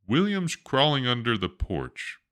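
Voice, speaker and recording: A man's voice: mid-range voice, very animated, measured pace, a bit bright, smooth, pitched above the performer's own voice. A man voicing a weary, worried storybook mother in a plaintive, resigned manner.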